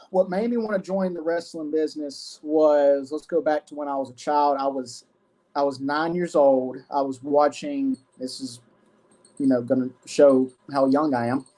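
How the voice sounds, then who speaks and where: A man's voice: on the high side, a little mellow. A man in his twenties, a wrestling guest answering earnestly and often repeating himself. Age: 20s. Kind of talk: casual interview.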